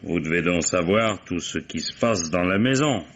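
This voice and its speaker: An actor playing a politely probing, dogged police commissioner in a vintage French radio mystery. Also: male voice, deep voice, lively intonation, moderate pace, fairly bright tone, muffled recording. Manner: polite, hesitant, insinuating questioning.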